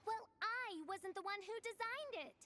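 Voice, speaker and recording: A woman's voice: very high voice, measured pace, bright, forceful tone, exaggerated voice. A woman voicing a grandiose, theatrical young girl in a video game, speaking in pompous, archaic phrases. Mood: puzzled, wary. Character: theatrical, grandiose young girl playing dramatic princess villain. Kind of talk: video game character acting.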